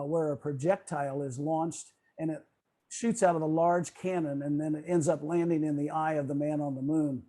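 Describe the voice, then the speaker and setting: A man's voice: a little high, mellow. A man giving a partly scripted presentation on a video call as a club presenter.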